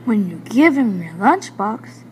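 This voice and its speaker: A woman reading a children's picture book aloud, deliberately and phrase by phrase. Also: mid-range voice, big pitch swings, slight background noise, low-quality recording. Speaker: storybook reader.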